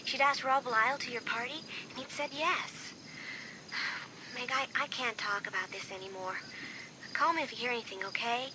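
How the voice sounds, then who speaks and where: A woman's voice: a little high, normal speed, background noise, lightly acted. An actress playing a worried teenage girl, a friend talking on the phone in a subdued, upset way, in an audiobook drama.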